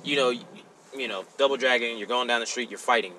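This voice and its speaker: Bright-voiced man, casual podcast chat. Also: mid-range voice, fast, a little hiss or hum, rough recording. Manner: animated, exclaims often. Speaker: podcast co-host.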